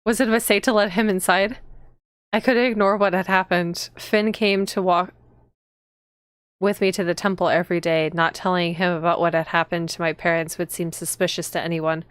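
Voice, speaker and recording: Young noblewoman heroine, read by a female streamer, dramatic and shaken visual novel narration, lowish clear voice. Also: fairly even intonation, normal speed, dry recording, studio quality, lightly acted. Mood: anxious. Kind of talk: dramatic first-person visual novel reading.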